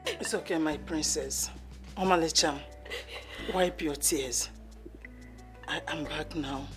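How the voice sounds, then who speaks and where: A woman's voice: medium pitch, very animated, a little slow, roomy sound, music in the background. An actress playing an imperious queen mother who commands her servants and comforts her daughter. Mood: soothing. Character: imperious middle-aged queen mother, fierce and doting. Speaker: actor.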